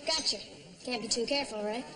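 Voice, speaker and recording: An actress playing a sassy, wisecracking 1940s nightclub singer in a television sitcom. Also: female voice, fairly high pitch, a little fast, a crowd in the background, lightly acted, rough recording. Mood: flirtatious. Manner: wisecracking, brassy.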